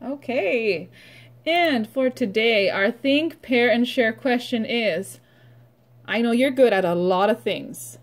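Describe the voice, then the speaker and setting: A woman teaches young children in a read-aloud and vocabulary lesson. She goes very slowly, using short, simple phrases and leaving pauses. The lesson sounds replayed from a computer. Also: on the high side, lively intonation. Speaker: preschool teacher.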